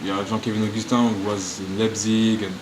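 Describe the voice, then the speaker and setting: Halting low-voiced man, noisy room. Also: little pitch movement. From France. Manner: halting non-native English, frequent restarts. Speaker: professional footballer. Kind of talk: press interview answers. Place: media room.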